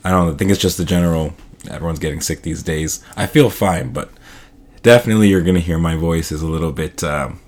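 Deep-voiced podcast host, a little hoarse. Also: male voice, fast talker, a little mellow. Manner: casual, upbeat, loose filler-heavy delivery. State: slightly hoarse. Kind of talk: solo podcast monologue.

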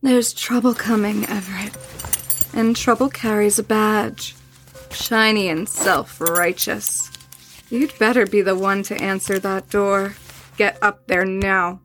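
A woman playing a sinister, seductive occult guide in a horror audio drama, coaxing in a hushed, hypnotic manner. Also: medium pitch, fairly even intonation, slow pace. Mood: foreboding. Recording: music behind voice.

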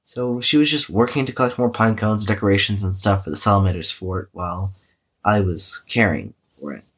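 Male actor as weary young man, husky voice, hesitant, self-effacing, wryly cynical, confessional diary delivery, audio drama. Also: fairly low pitch, fairly quick, fairly bright tone. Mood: awkward, musing.

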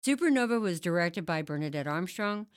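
A woman podcast host reading a formal, deliberate scripted introduction to an audio play. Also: lowish voice, expressive. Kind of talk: scripted narration. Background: music.

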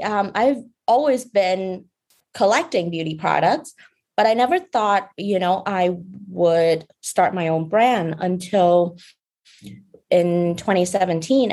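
Young woman from Vietnam, beauty founder; soft, unhurried guest storytelling with small laughs. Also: on the low side. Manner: soft-spoken, fillers and restarts, light laughs. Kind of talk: casual interview talk.

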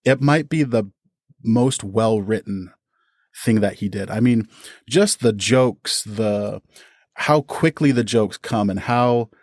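Guy on a podcast explaining his creative work, lowish voice, keen, very dry recording. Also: slow pace, darkish tone, no echo. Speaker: audio drama producer and performer, podcast guest. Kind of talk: conversational interview answers, explaining.

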